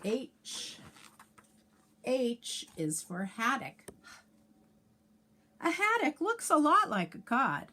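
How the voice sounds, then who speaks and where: Female teacher for children's read-aloud: unhurried, lively intonation, careful enunciation, chatty asides to little listeners. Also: high voice. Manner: sing-song, enunciating letters for young children; adds asides and questions to young listeners. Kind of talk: read-aloud of a children's alphabet picture book.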